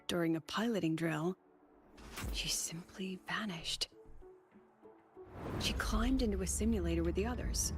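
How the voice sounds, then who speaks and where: A woman playing a poised, intrigued academy president in a video game, speaking in a measured, teasing way with theatrical pauses. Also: fairly low pitch, natural voice. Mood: storytelling.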